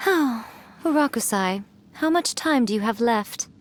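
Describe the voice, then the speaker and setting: A woman's voice: on the high side, expressive, unhurried. An actress playing a sly, teasing, ageless yokai woman in a video game, with a smug, poised and playful manner. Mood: wistful. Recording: clearly audible background noise.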